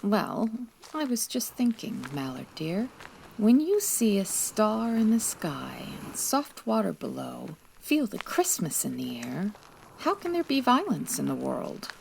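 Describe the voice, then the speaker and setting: A woman playing a sassy, sharp female private detective in a comedic 1940s-style radio drama, with wry, teasing delivery. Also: mid-range voice, sing-song, measured pace, creaky, a little hiss or hum, exaggerated voice, rough recording. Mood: wry, romantic. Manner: wry, teasing, dry quips.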